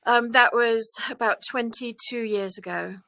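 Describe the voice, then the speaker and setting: A woman from the UK with a British accent, answering interview questions in a witty, brisk way. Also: a little high, steady tone, gravelly, bright, forceful tone, dry recording. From United Kingdom. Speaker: guest. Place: studio.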